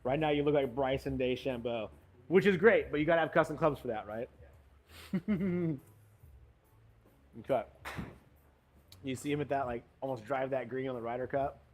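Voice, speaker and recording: A man's voice: mid-range voice, normal speed, pauses often. A man coaching a golf lesson, giving short cues and encouragement as the student swings. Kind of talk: hands-on golf lesson instruction.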